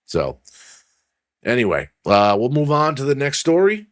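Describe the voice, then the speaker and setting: A man hosting a casual sports podcast, rambling and swearing as he reads news aloud with his own asides, his voice going hoarse. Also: medium pitch, huge pitch swings, a little slow, no echo, clean studio sound. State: losing his voice. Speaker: podcast host.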